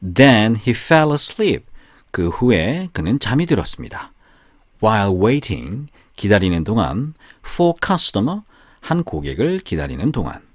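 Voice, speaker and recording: A man reading a storybook for language learners in short, deliberate phrases, alternating with translations. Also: fairly low pitch, very animated, no room sound, clean studio sound. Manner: slow, deliberate, pausing between short phrases. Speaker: narrator of bilingual audiobook.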